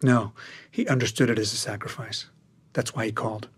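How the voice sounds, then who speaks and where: An actor playing a careful, placating middle-aged husband in a naturalistic radio drama, speaking tentatively and haltingly. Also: male voice, lowish voice, fairly even intonation, slightly rough.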